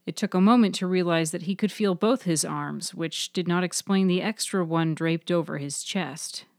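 Lower-pitched woman narrating fiction, dry recording. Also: moderate pace, no echo. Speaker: writer-narrator of fiction podcast. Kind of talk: scripted literary narration.

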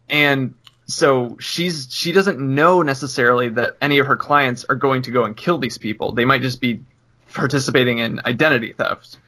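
Male podcast co-host, casual conversational delivery, sharp bright tone. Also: mid-range voice. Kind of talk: casual discussion.

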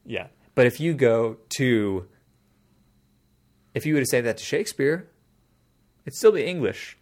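A man's voice: mid-range voice, lively intonation, measured pace, darkish tone. A man in casual conversation, explaining a topic at length in a thoughtful way, often restarting his sentences.

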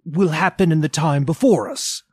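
A man's voice: a little high, normal speed, a little mellow, slightly rough, no room sound, pitched above the performer's own voice. A man voicing an old male miser who pleads with a spirit in strained, anxious questions. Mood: fearful.

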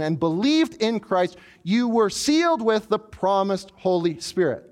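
Male preacher, high voice that swoops all over, working through scripture. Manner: animated preaching, voicing the proud tower builders. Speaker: pastor. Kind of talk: sermon.

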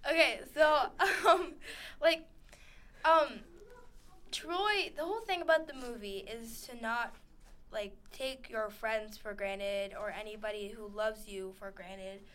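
A woman's voice: fairly high pitch, fairly even intonation, takes it slowly, a bit bright. A teenage girl co-hosting a casual radio chat, rambling, giggling and acting out characters as she recaps scenes. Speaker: co-host. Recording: radio studio.

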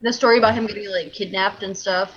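A woman's voice: mid-range voice, steady tone, fast talker, a bit bright, husky, highs cut off. A woman comedian co-hosting a podcast, frank and joking, a little tipsy. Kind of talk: casual podcast chat.

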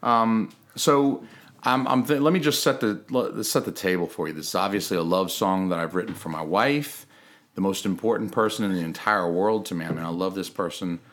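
A man's voice: low voice, fairly quick, fairly bright tone. A young man hosting a casual comedy podcast, rambling and mock-earnest as he jokes with his guest. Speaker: podcast host.

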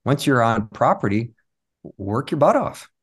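A man's voice: mid-range voice, very animated, measured pace, slightly rough. A man speaking as an interview guest, telling anecdotes and explaining his work in a casual, conversational way. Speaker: interview guest, performing magician.

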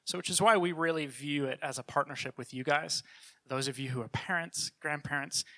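Middle-aged man, mild New Zealand accent, earnest church speaker, bright tone, casual restarts. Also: a little high, a little fast. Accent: New Zealand, softened by years in Canada. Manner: earnest, conversational, with frequent restarts. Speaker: children's ministry leader. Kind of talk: church talk with personal testimony.

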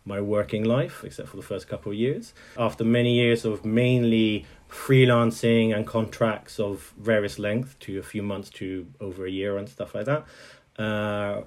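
A man's voice: lowish voice, darkish tone. A man from Italy, speaking as an interview guest in a thoughtful way, often restarting his sentences. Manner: thoughtful, full of restarts and fillers. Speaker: guest, software engineer. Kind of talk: interview conversation.

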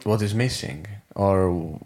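A man's voice: low-pitched, slow pace, a little mellow. A man interviewing a guest, searching for words and rephrasing his questions as he goes.

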